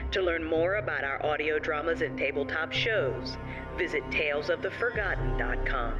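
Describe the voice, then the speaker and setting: A man reading a scripted podcast outro and credits as an announcer, in an even delivery. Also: a little high, muffled recording.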